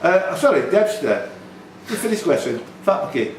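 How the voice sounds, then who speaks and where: A man hosts a live quiz, switching between reading questions and bantering asides. His voice is fairly high and very animated in pitch. He is picked up from a distance in a roomy indoor space. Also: moderate pace. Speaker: quiz host, question reader. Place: indoors in a tavern. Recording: roomy pub, distant mic, faint noise.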